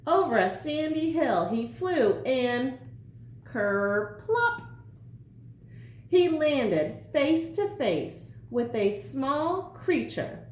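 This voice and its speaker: A woman teacher reading a children's story aloud with deliberate, emphatic phrasing. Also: high-pitched, very animated. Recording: roomy echo, some background noise.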